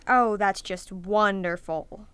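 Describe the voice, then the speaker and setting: A clean, close, dry recording of a bitter young student played by a woman in an audio drama. The delivery is sardonic and argumentative, in a smooth, clear medium-pitched voice. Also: slow, fairly bright tone, no echo. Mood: sarcastic, irritated. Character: bitter, pessimistic young college student named Walter, stranded and sarcastic. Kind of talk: amateur audio drama acting.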